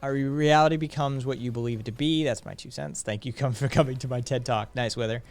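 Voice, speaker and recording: A man's voice: medium pitch, steady tone, fairly quick. Laid-back profane streamer man. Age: late 30s. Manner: profane, rambling, laid-back with frequent fillers. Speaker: streamer host. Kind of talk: casual live-stream chat.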